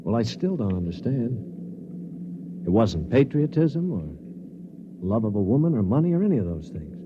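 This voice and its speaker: A man plays a baffled man pressing for answers in a 1950s radio play. His pitch moves in lively swings. The old recording is dull and noisy. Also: normal speed, lightly acted. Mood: puzzled. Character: puzzled, probing man pressing for an explanation. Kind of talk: 1950s radio drama acting.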